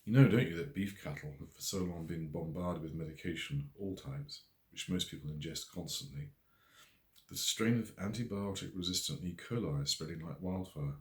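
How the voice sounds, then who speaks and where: A man's voice: deep voice, moderate pace. Man voicing an earnest, haughty woman farmer, echoey. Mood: wry, grumbling. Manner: haughty, ranting. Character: earnest, mischievous woman farmer, scornful of officialdom.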